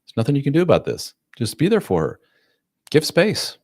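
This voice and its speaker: A man's voice: on the high side, wildly swooping pitch, brisk, darkish tone, no room sound, studio quality, pitched above the performer's own voice. A man voicing a blunt therapist who gives firm, no-nonsense advice in a raised, emphatic voice.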